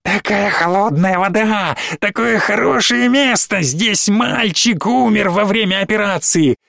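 A man voicing a cruel, mocking possessed little girl in an audiobook, with a shrill, drawn-out taunting voice. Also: gravelly, sharp tone, dry recording, studio quality, full character voice. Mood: shrieking. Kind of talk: audiobook reading.

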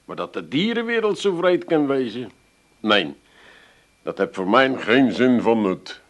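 A gloomy lodger who complains melodramatically that life has no meaning. His voice is mid-pitched, and he speaks with an Amsterdam accent. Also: very animated, measured pace. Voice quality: mopey, melodramatic. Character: gloomy, self-pitying middle-aged lodger. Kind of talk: radio play acting.

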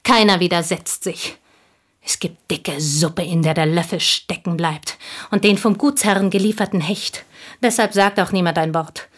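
An actress playing a bitter, proud, unmarried village woman in a radio play, speaking deliberately and with scornful intensity in a husky voice. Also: fairly low pitch, lightly acted. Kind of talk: German radio play acting.

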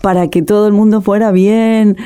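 A woman's voice: mid-range voice, fairly even intonation, normal speed, warm tone, clean tone. A middle-aged woman filmmaker telling her story in an interview, passionate and emotional, often restarting her sentences. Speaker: documentary filmmaker, guest.

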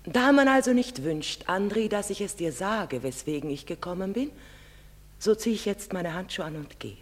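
An actress playing a proud, sorrowful middle-aged foreign mother in a stage drama, speaking in a solemn, measured and emphatic theatrical manner. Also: mid-range voice, lively intonation, close to an everyday voice. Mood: proud, grave. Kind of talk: German stage-drama acting. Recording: long reverberant hall sound.

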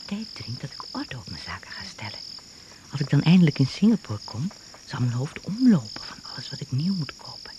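An actor playing a genteel, earnest traveller musing aloud in a radio drama monologue. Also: male voice, mid-range voice, big pitch swings, a little fast, close to an everyday voice. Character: prim, chatty, self-absorbed traveller musing aloud. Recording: old noisy radio play recording.

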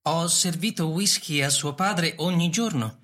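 A man's voice: a little high, unhurried, a bit bright. A man playing an ageless robot butler in a radio play, formal and deferential. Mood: dutiful, formal. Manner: formal, servile.

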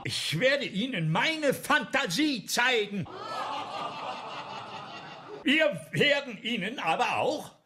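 An actor in a German radio play playing an anguished, fearful French playwright, theatrical and husky, swinging from hushed weariness to declamation. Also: male voice, very high voice, big pitch swings, takes it slowly, long pauses, crowd noise, exaggerated voice. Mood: agitated, declaiming. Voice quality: husky, hoarse, gravelly.

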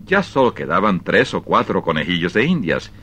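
A man narrating a dramatized short story for radio in an expressive, measured storytelling style. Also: sits low, husky.

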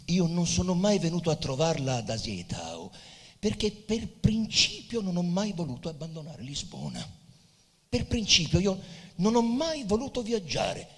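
Urbane dying poet, long hall reverb. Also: male voice, sits high, huge pitch swings, breathy edge, full character voice. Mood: gracious, ironic. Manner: measured, theatrical, lyrical phrasing. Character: dying male poet, urbane, gracious, gently ironic. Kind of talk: stage drama acting. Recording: hall reverb with guitar music behind. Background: live Portuguese guitar music.

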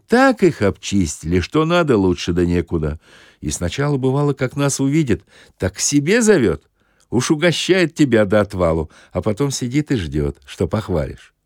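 Guy doing a cheeky folksy soldier bragging, audiobook satire, pitch jumping all over, dry studio sound. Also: big pitch swings, normal speed, darkish tone, no room sound. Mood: boastful. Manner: folksy colloquial storytelling. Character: thin, boastful, shameless soldier spinning tales. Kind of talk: audiobook dramatization of a satirical story.

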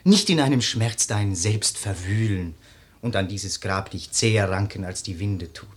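An actor playing a young gentleman in a radio play, an admiring, concerned friend who speaks verse in an earnest, lyrical, pleading way. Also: on the low side, lively intonation, unhurried. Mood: gently admonishing. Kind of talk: classic radio drama verse acting.

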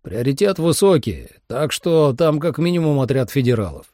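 A man's voice: medium pitch, sing-song, moderate pace, dark tone, dry recording, studio quality, voice pushed up, natural voice. A man voicing a businesslike monster hunter giving a matter-of-fact briefing in an audiobook. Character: businesslike male monster hunter reporting to his leader.

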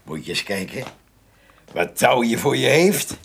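An actor playing a blunt, folksy old countryman in a radio play, with a gravelly, husky voice and halting phrases. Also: medium pitch, sing-song, exaggerated voice. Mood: hearty, stubborn. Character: gruff old countryman, poacher, loyal drinking companion.

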